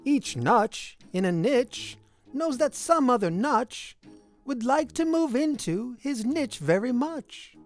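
High-voiced, animated woman reading a storybook. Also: slow. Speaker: storybook reader. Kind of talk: expressive read-aloud of a rhyming children's picture book. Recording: music behind the voice. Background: music.

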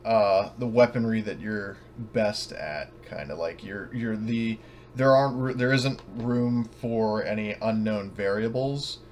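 A man casually explaining a tabletop game scenario as game master, in a halting, rambling way. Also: fairly low pitch, darkish tone, a little hiss or hum. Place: online voice call.

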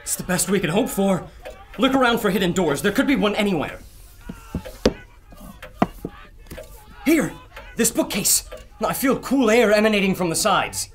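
An actor playing an excitable, learned doctor of antiquities in a pulp radio adventure, theatrical and scholarly with an anxious edge. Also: mid-range voice, a little fast, a little hiss or hum, lightly acted. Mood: excited.

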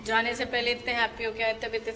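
A woman's voice: monotone, fast talker. A woman, chatting casually and teasingly to her phone as she vlogs, with bursts of exclamation. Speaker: vlogger. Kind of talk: casual outdoor vlog chat with companions. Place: outdoors at a beach and open-air cafe. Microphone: phone at arm's length. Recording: noisy outdoor phone recording with ambient rumble.

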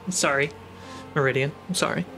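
A man narrating a tabletop fantasy game as game master in deliberate, halting phrases, with music behind him. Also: a little high, fairly even intonation, pushed and bright.

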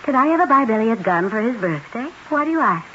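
A woman's voice: on the high side, very animated, fast, fairly bright tone. A woman playing a wistful, unhappy wife with theatrical, reflective delivery in a 1970s radio drama. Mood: bitter musing. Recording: muffled, faintly noisy 1970s radio recording.

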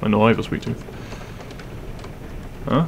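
A man playing a grumpy but secretly caring half-dragon roommate in an audio roleplay, gruff, weary and blunt. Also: on the low side, steady tone, normal speed, lo-fi sound, background noise. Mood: softening, caring. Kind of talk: audio roleplay acting, spoken to the listener.

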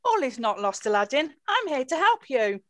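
A woman's voice: high-pitched, big pitch swings, normal speed, a bit bright. A woman playing a helpful, down-to-earth pantomime spirit, reading matter-of-factly. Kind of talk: script read-through on video call. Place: room at home, video call.

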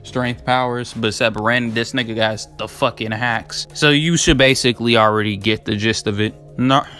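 A man's voice: mid-range voice, steady tone, normal speed, bright, forceful tone. Young man, mid-range voice, casual rambling commentary, heavy slang and swearing, emphatic delivery, faint music behind. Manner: slangy, profane, hyped, emphatic. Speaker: video creator debating anime battle matchups.

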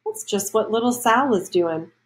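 A woman's voice: on the high side, animated, moderate pace, poor audio. Woman reading a storybook to children. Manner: child-directed, explaining and asking questions. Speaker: teacher reading aloud to children. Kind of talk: storybook read-aloud with explanatory asides.

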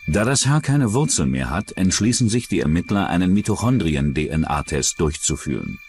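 A man with a very low voice narrates a true-crime documentary. His delivery is scripted and varies noticeably in pitch, with faint music behind him. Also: very animated, normal speed, a little mellow.

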